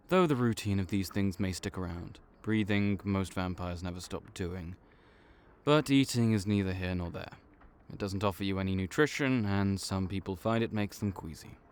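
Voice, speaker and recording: A man voicing a calm, bureaucratic male vampire counselor who has done the job for decades, speaking in a measured, clinical way in an audio roleplay. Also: deep voice, clean tone. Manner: measured, clinical, patiently reassuring.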